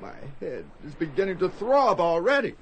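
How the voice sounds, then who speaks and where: A man's voice: high voice, big pitch swings, moderate pace. An actor playing a weary, hungover man, one of a group of party friends in a radio drama.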